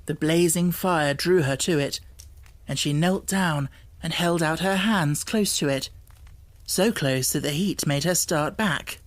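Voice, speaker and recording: A woman reading an audiobook as narrator, with deliberate phrasing and expressive, dramatic emphasis. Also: low voice, dry recording. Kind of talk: dramatic scripted storybook narration. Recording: music bed under the voice. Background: music.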